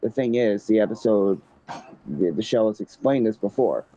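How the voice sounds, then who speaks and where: Brief: young man reading a story aloud with commentary, mellow voice, dry, dull home recording. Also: on the low side, expressive, moderate pace. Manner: stumbling, restarting read-aloud with laughs.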